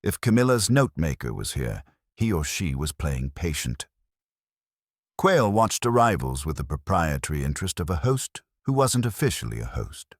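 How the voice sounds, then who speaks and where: A man reads hardboiled detective fiction in an extremely low voice. His intonation moves freely, with a wry edge to the storytelling. The sound is dry and close, with no room to it. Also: big pitch swings, normal speed, no echo. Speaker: audiobook narrator. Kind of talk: scripted first-person detective fiction narration.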